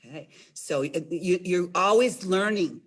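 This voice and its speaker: A woman's voice: on the low side, a little slow. A woman hosting a children's storytime, asking simple questions and addressing young listeners directly. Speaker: children's library storytime host.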